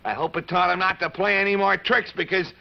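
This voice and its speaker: High, boyish cowboy puppet. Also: male voice, steady tone, a little fast, vocal fry. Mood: earnest. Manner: boyish, folksy, exclamatory. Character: earnest, friendly cowboy boy marionette. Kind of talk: 1950s children's television puppet show. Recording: dull, noisy old television soundtrack.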